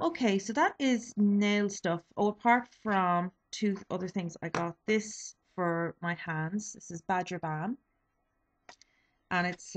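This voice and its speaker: A young woman in her twenties, a little sniffly, chatting casually through a beauty haul with lots of ums and self-corrections. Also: on the low side. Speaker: beauty vlogger. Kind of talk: casual product review.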